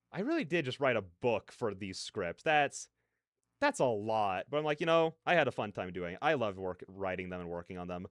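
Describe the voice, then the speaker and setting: A man with a smooth, clear voice talks casually to his livestream. He rambles in a scattered, self-interrupting way and drops in playful nonsense sounds as filler. The sound is dry and close. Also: mid-range voice, a bit bright, no echo. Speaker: livestreamer, host. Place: large, fairly empty room with soundproofing panels.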